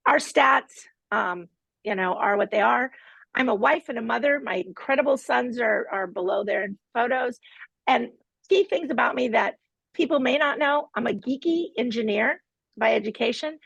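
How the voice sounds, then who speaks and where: A woman's voice: on the high side, moderate pace, gravelly, fairly bright tone, husky, no room sound, lo-fi sound. A woman hosting a live online master class from her home studio, with a playful, teasing manner. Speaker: host and team leader.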